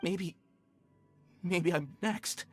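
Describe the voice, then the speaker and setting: A man's voice: sits high, drawn-out words, faint music behind. A man playing an anxious, shaken mine worker in a video game, speaking hesitantly with pleading stammers. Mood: nervous, pleading.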